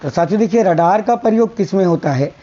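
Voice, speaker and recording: Hoarse male teacher, dull lo-fi sound. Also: sits high, expressive, fast talker, warm tone. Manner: repeats answers, coaxing "याद रखिएगा". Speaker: exam-prep science teacher. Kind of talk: quiz-style lecture.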